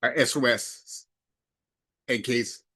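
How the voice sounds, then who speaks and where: A man with a mid-pitched voice walks through a product demonstration in a casual, folksy manner. He leans on key words and talks himself through each step. The recording is close and dry, with very little room sound. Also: slow, pushed and bright, no echo. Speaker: product reviewer. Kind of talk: casual hands-on gadget demonstration.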